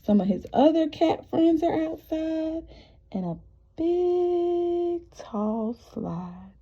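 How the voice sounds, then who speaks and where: A woman teacher reading a picture book aloud to young children, expressive and encouraging, often asking the listeners questions. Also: extremely high pitch, drawn-out words. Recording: dull, muffled lo-fi sound.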